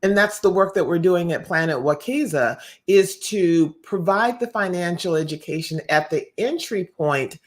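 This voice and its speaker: A woman hosting an interview, asking reflective questions in a measured, somewhat formal way with careful phrasing. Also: on the low side. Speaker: interviewer and host.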